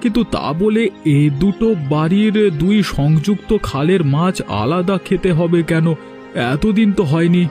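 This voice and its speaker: A man's voice: high-pitched, normal speed, a little mellow, natural voice. A man voicing a puzzled village fish farmer in a cartoon, earnest and exclaiming. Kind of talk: dubbed cartoon dialogue. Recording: music in the background.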